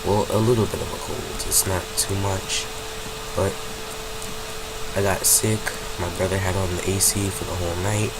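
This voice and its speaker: A young man with a slightly low voice and a stuffy nose from a cold talks through his gameplay in a loose, rambling way, often correcting himself. His home desk mic picks up a constant loud hum and background noise. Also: level tone, normal speed. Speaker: gaming channel host. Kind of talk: casual gameplay commentary.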